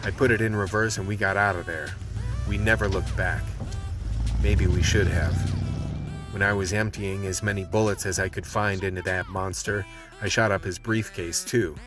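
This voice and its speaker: A man's voice: deep voice, fairly even intonation, normal speed, over music, lightly acted. A man playing a tough survivor who narrates his fight with vampires in a first-person radio play. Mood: grim, steady narration.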